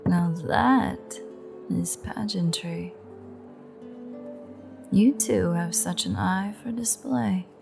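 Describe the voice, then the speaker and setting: A woman playing a menacing, amused female vampire in an audio roleplay, taunting and sultry with breathy, half-whispered asides. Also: medium pitch, darkish tone, exaggerated voice. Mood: admiring, teasing. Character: menacing, amused female vampire gloating over a captured hunter.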